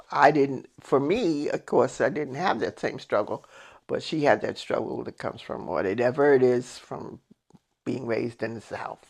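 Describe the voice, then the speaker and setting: An American woman in her eighties telling family stories in a casual, animated way, emphatically acting out remembered dialogue. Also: extremely low pitch, gravelly, slightly rough. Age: 80s. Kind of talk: casual personal storytelling.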